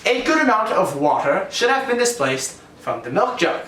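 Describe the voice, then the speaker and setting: Male instructional narrator, slightly high voice, animated pitch, scripted delivery, roomy sound. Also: normal speed. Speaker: presenter of a lab lesson.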